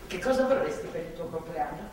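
A woman's voice: lowish voice, close to an everyday voice. Actress as a patient older woman, very echoey stage. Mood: patient, firm. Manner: calm, brief, measured replies. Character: older woman guarding a captive, patient, devout, gentle. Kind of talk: theatrical stage drama acting. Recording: echoey, distant, noisy theatre recording.

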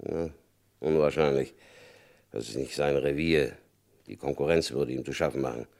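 An actor playing a stern, shrewd FBI chief in an old radio drama, talking curtly with a confident edge. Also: male voice, normal speed, darkish tone. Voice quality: deep gravelly voice. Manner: curt, confident, dry chuckles. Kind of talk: 1960s radio drama acting.

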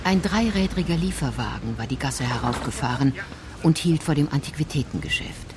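A woman's voice: extremely low pitch, expressive, fairly quick, heavy background noise. A woman narrating a scripted crime story for a radio play in a composed, precise manner.

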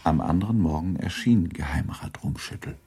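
A man narrating a literary radio drama from a script, with a husky voice. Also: deep voice, normal speed, mellow.

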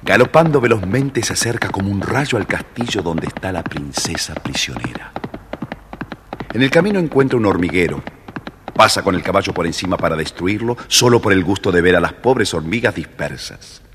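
A man with a deep voice narrates a fairy tale expressively, his pitch moving widely. The old recording has long reverb and faint noise. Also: normal speed. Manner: expressive storyteller. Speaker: storybook narrator.

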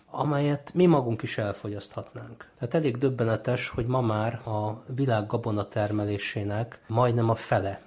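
A man's voice: on the low side, a little mellow. A man explaining as an expert interview guest, in a didactic, emphatic manner. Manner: didactic, emphatic, poses questions and answers them. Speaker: ecologist and university lecturer as interview guest.

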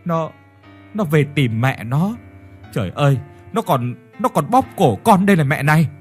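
Man doing a scared young woman, raised pitch, stammering, husky, pleading. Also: lively intonation, moderate pace, darkish tone, faint music behind. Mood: frightened. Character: frightened young woman, daughter-in-law.

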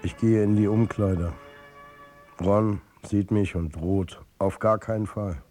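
An actor playing an embattled football coach who narrates his own downfall in a radio drama, tersely and bitterly, with a husky voice. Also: lowish voice, fairly even intonation, slow pace, warm tone, faint music behind. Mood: wry, tense. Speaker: first-person narrator.

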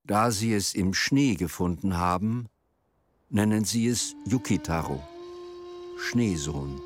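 A man's voice: low voice, slow pace, noisy background. A man reading scripted storytelling narration for a radio play. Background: music.

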